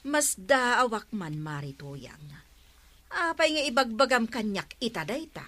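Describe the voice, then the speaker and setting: A woman with an Ilocano accent playing a sensible, gently chiding village woman in a radio comedy drama. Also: on the high side, big pitch swings, unhurried, no room sound, heavily acted.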